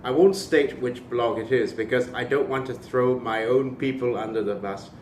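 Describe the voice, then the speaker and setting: A man's voice: mid-range voice, normal speed, darkish tone, distant mic, slight background noise. A man from Malaysia giving an opinionated video commentary, emphatic and impassioned, with sardonic asides. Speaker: cultural commentator. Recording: echoey room.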